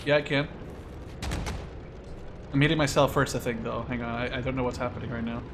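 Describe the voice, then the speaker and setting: A man in his twenties chatting casually and excitably while leading his squad in a multiplayer game, swearing freely. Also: medium pitch, fairly quick. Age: 20s. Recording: game gunfire and vehicle noise behind. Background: gunfire, explosions, vehicle engines from game.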